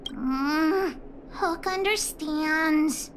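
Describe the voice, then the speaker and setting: A proud, stubborn little girl from a game. She talks slowly and childishly in a tiny, very high, swooping voice, with clear noise behind her. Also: poor audio. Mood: sulky, obedient. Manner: childlike, third-person self-reference, slow. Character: proud, stubborn little girl, self-styled gang boss. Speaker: video game voice actor. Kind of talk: dramatic game cutscene dialogue. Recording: noticeable background noise.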